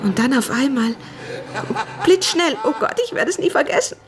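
An actress playing a frightened young housemaid in a radio drama, giving breathless, halting eyewitness testimony in colloquial speech. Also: female voice, sits high, animated. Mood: horrified, agitated. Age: young adult.

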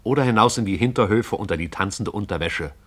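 A man narrating a radio play in a measured, poetic storytelling manner. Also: on the low side, fairly bright tone.

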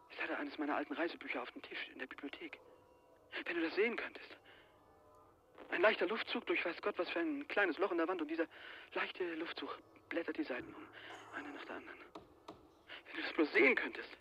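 Haunted, obsessive man, high sharp voice, frantic radio drama delivery, some background noise. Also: lively intonation, a little fast, long pauses, dull audio. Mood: tense, subdued. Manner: agitated, dramatic, swinging from hushed dread to frantic outbursts. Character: frightened obsessed travel writer hunted by a murderous wind. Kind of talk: 1980s German radio play acting.

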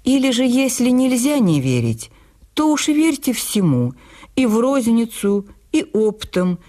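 A woman's voice: on the high side, big pitch swings, a little slow, dark tone, pitched above the performer's own voice. Woman reading as a dignified, wry male writer. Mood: wounded, wry. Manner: dignified, reproachful, ironic. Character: proud, wry male writer replying by letter.

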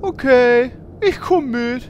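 Casting: woman as biting young-sounding heroine, high animated voice, sarcastic, parody audio drama with slight background noise. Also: slow pace, dull audio. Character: sharp-tongued, resentful female fantasy game adventurer. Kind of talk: audio comedy parody acting.

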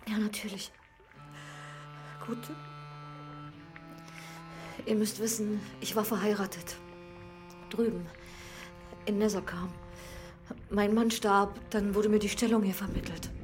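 An actress as an older widowed housekeeper in a radio play, mid-pitched and discreet. She speaks with sorrowful hesitation, often hushed, over soft music. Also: level tone, a little slow, long pauses, lightly acted. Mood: hesitant, ashamed. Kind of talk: radio drama acting.

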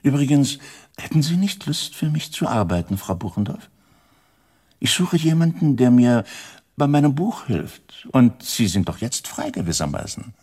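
An actor playing a composed, courteous elderly man with a gravelly, husky voice in a radio drama. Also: medium pitch, big pitch swings, normal speed, warm tone.

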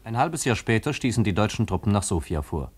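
A man's voice: lowish voice, big pitch swings, a little fast, breathy edge. A man narrating a radio drama from a script in a formal, literary manner.

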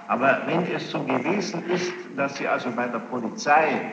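A man questioning a witness as a presiding judge, formal and probing, choosing his words deliberately with pointed challenges. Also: mid-range voice, vocal fry. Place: large reverberant courtroom. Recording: muffled, noisy archival courtroom tape, echoing hall.

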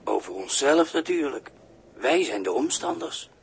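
An actor playing a chummy but unhelpful deckhand in a radio play, dry, colloquial and teasing. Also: male voice, fairly high pitch, big pitch swings, measured pace, gravelly, full character voice. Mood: sardonic. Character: sardonic idle male deckhand, chummy and unhelpful. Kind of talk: 1960s Dutch radio play acting. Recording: noisy 1960s radio recording.

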